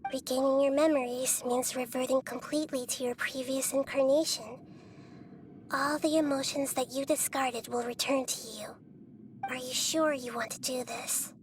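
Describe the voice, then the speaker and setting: A woman voicing a small, gentle and wise young female deity in a video game, measured and philosophical. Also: sits high, fairly even intonation, slight background noise, close to an everyday voice. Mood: concerned.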